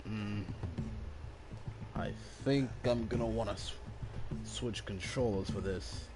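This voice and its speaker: A man's voice: on the low side, takes it slowly, music in the background. A man streaming a video game, chatting casually with viewers, rambling and correcting himself. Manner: relaxed, rambling, with fillers and self-corrections. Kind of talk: casual livestream commentary.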